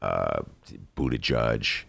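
Deep gravelly male talk-show host. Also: lively intonation, slow pace, a bit bright. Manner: loose, chatty, jokey. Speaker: podcast host. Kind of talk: casual interview chat.

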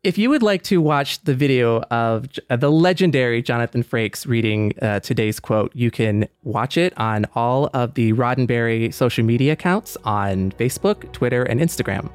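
Male co-host, mid-range voice, dry recording, earnest and measured in thoughtful conversation. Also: medium pitch, clean tone, dry recording. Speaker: podcast co-host.

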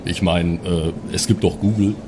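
A man's voice: low-pitched, fairly even intonation, normal speed, noisy background. A man talking casually as a player in an improvised role-play game, describing what his character does. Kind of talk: casual table chat.

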